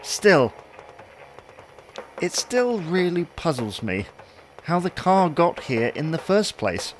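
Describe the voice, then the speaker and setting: A slightly high-voiced husband, played by a man in a domestic audio comedy. He is chatty, fussy and wryly puzzled, speaking conversationally over noticeable background noise. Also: sing-song, slow pace, low-quality recording. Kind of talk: audio sitcom acting.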